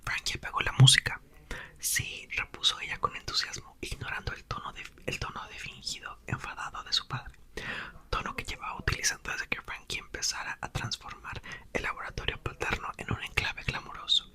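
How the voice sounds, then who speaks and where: A man reads a story aloud in a breathy, intimate ASMR whisper, with soft and expressive narration. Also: fairly quick. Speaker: ASMR creator reading a book aloud.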